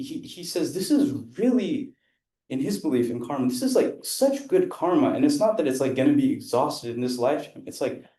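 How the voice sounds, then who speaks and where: A man's voice: mid-range voice, mellow, echoey room. A man who grew up in India, interpreting a Buddhist teacher for an audience in a loose, rambling way with lots of fillers and restarts. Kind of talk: interpreting a dharma talk, casual. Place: event room with an audience.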